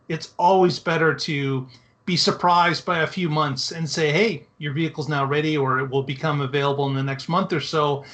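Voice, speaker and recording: A man's voice: on the high side, fairly bright tone. A man co-hosting a talk show, giving casual, opinionated commentary in a conversational, explanatory way.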